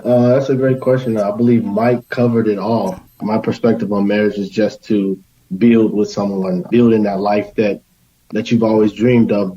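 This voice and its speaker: A man's voice: lowish voice, warm tone. A man giving conversational answers as a guest in a podcast interview. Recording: slightly dull lo-fi sound.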